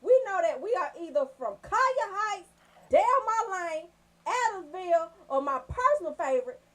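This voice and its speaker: Animated, high-pitched Atlanta woman bantering. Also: normal speed. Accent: Southern US, Atlanta. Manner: animated, emphatic storytelling. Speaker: radio show host. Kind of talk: comedic casual radio banter.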